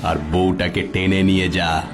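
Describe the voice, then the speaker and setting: A man voicing a cruel, boastful male bandit chief who taunts slowly and laughs menacingly in a cartoon. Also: sits low, little pitch movement, music in the background. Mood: gloating.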